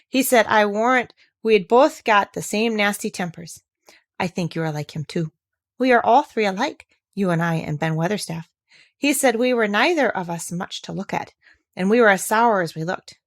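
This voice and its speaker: A woman's voice: medium pitch, expressive, normal speed, natural voice. Storybook role: candid, no-nonsense young girl with childlike earnestness performed by a woman. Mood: frank. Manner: plain-spoken, earnest, childlike. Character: frank, sturdy, matter-of-fact young girl.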